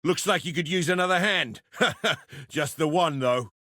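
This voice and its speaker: An actor playing a gruff, sardonic fighter in a video game, speaking in blunt, colloquial barks. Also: male voice, fairly high pitch, measured pace, sharp tone, dry recording, clean studio sound.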